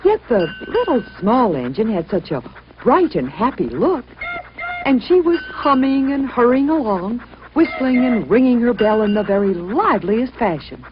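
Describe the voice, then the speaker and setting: A woman's voice: a little high, very animated, normal speed. A woman narrating a children's story expressively, as a storyteller. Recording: muffled, noisy old-sounding recording.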